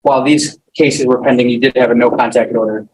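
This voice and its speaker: A man acting as prosecutor, asking formal, halting questions in a courtroom. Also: mid-range voice, steady tone, a little mellow. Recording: distant, faintly noisy courtroom audio.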